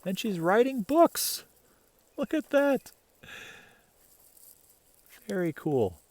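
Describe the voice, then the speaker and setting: A man reading a children's picture book aloud as an expressive storyteller, pausing for casual asides and questions to the listener. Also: high-pitched, no room sound.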